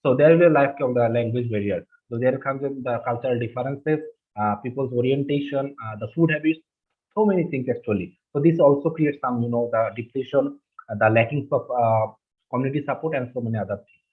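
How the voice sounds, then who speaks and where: Man from Bangladesh on a tinny call. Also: mid-range voice. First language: Bengali. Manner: halting, many fillers and restarts. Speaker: webinar presenter. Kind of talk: presenting slides in a webinar. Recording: husky, phone-like online call audio.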